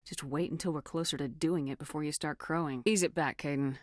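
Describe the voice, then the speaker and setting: A confident military commander of a starship in a video game. Her voice sits on the low side and is recorded close and dry. She speaks with clipped, assured authority and a dry, teasing wit. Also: fairly even intonation, a little fast, no echo. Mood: firm, composed. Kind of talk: scripted video game dialogue.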